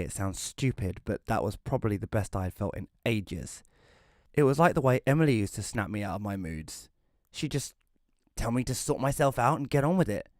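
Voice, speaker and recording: A man in his thirties playing a glum, self-deprecating widower who narrates an audio drama in a dry, deadpan way. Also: fairly low pitch, dry recording. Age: 30s. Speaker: narrator and lead character. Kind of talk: first-person scripted narration in audio drama.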